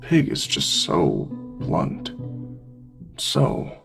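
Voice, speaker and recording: A laid-back swine from an audio drama with a very deep voice full of creak. The pig is sly and teasing, drawing out its phrases as it coaxes, over a clear music bed. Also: male voice, heavily acted. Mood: sly, amused. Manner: calm, coaxing, drawn-out teasing phrasing. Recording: music bed under close binaural voice.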